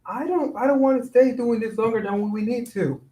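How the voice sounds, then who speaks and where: A man's voice: extremely high pitch, brisk, mellow. A man playing an uneasy, doubting construction worker in a staged reading of a play. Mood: worried, irritated. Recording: roomy, distant, noisy microphone.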